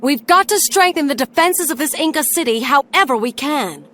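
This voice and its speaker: An actress playing a tough, determined young railroad company leader in a video game, blunt, wry and resolute. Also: female voice, high voice, animated, normal speed, noisy background. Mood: determined, urgent. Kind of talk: dramatic video game acting. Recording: music behind the voice. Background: music.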